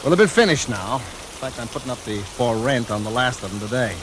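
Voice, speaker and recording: A man playing a folksy, halting real estate agent in a 1940s radio drama. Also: mid-range voice, animated, natural voice. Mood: uneasy, halting. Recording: old radio recording with noisy hiss.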